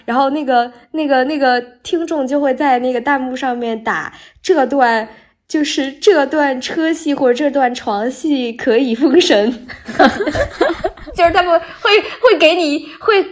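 A woman's voice, on the high side, bright and sweet. She speaks as a voice actor guest on a casual podcast, walking through her explanations step by step with examples. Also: normal speed.

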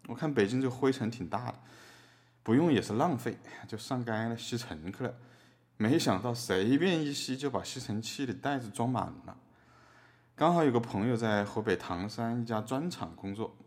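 Man as a low-voiced guileless defendant. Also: a little mellow, close to an everyday voice. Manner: colloquial, artless, matter-of-fact. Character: guileless, easygoing male artist defendant who just makes bricks. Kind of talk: scripted storytelling in satirical courtroom drama.

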